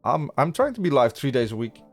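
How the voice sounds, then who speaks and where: A man's voice: mid-range voice, big pitch swings, brisk, soft background music. Dutch man chatting on a coding stream. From Netherlands. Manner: rambling, many restarts, chatting with viewers. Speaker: livestream coding host. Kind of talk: casual live-coding stream chat. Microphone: studio podcast mic.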